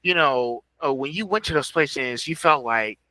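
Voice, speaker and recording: A man hosting a casual conversational interview, rambling and halting as he searches for his words. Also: medium pitch, bright, forceful tone, a little hiss or hum, rough recording. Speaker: podcast host interviewer.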